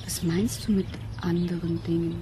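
A woman's voice: fairly low pitch, little pitch movement, moderate pace, background noise, close to an everyday voice. An actress playing a pragmatic, curious young woman student in a radio drama, composed and matter-of-fact. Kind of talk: German radio drama dialogue.

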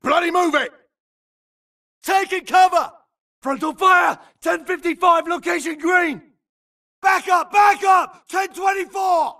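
A man's voice: very high-pitched, steady tone, slow, pauses often, pushed and bright, shouting, recorded from a distance, full character voice. A man voicing a frantic soldier in a video game, barking urgent clipped combat orders. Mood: frantic, commanding. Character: frantic soldier grunt shouting battle orders.

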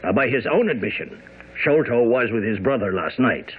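A man's voice: mid-range voice, animated, normal speed, slightly rough. A man playing a smug, blustering police inspector in a radio drama, dismissive and hemming. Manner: dismissive, matter-of-fact, hemming. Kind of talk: old-time radio drama acting. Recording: narrow, tinny radio broadcast.

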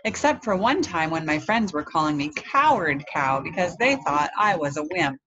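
A woman's voice: low-pitched, big pitch swings, moderate pace. Casting: sensitive young storybook calf, a boy, moody and earnest played by a woman, lo-fi recording with hiss. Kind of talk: storybook read-aloud.

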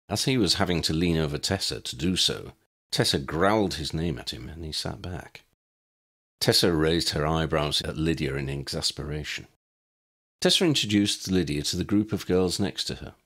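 A man narrates an audiobook story. His voice is low and gravelly at the edges, with lively rises and falls through the scripted prose. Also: normal speed.

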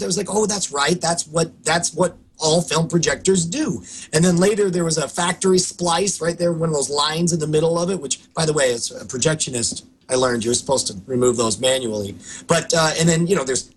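A man in his thirties with a hoarse voice, co-hosting a casual podcast over a video call and telling stories in an animated, restarting way. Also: on the high side, a little hiss or hum, low-quality recording. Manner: animated, quick storytelling with restarts. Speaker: co-host. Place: home studio room.

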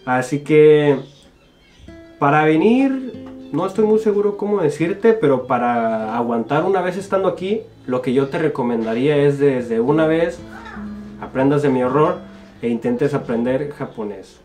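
Young Mexican man, chatty and bright. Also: on the high side, animated, unhurried, soft background music. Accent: Mexican Spanish. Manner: jokey, laughs often, informal. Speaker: guest youtuber. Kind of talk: casual chat reading comments. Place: small dim home studio.

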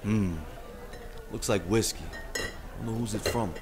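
A man's voice: lowish voice, a little slow, lightly acted. A man playing a fat, jovially menacing gangster boss in an old-time radio drama. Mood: pleased. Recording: party crowd and music behind.

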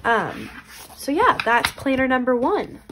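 A woman's voice: high-pitched, big pitch swings, a little slow, background noise. A young woman, congested from a cold, chatting in a bubbly, casual way while showing her planners. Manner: bubbly, chatty, many fillers. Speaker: planner vlogger. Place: indoors at a desk. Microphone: camera overhead, hands only seen.

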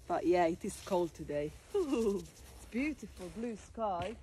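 A woman's voice: mid-range voice, lively intonation, slow, warm tone, a little hiss or hum. Chatty woman touring her garden outdoors. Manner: chatty, pointing things out as she walks. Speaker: garden vlogger. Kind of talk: casual garden tour walkthrough.

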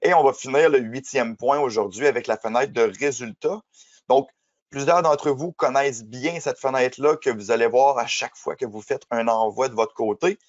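Guy with a cold, explaining software in a webinar, lotta euhs, kinda muffled sound. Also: medium pitch, moderate pace. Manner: explanatory, many fillers. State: has a cold. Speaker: software trainer. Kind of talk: webinar presentation.

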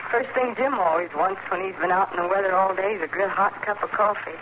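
Actress as a stoic rural American frontier widow. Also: female voice, medium pitch, fairly quick, muffled recording, natural voice. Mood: numb. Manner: plain, folksy, restrained. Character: stoic, proud, grieving frontier farm widow. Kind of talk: 1950s radio drama acting. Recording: noisy old radio broadcast recording.

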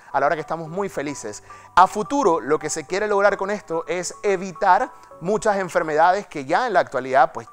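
A man presenting a technology segment in a TV news studio, talking in a chatty, dramatic way with fillers. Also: on the high side. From Panama. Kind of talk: studio tech segment, conversational.

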